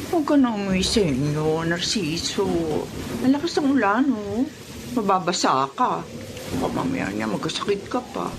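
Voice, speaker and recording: Impatient, coaxing Filipina mistress. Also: female voice, medium pitch, expressive, moderate pace, vocal fry, noisy background. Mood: coaxing concern. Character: impatient mistress scheming to take her friend's husband. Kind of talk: Filipino radio drama acting.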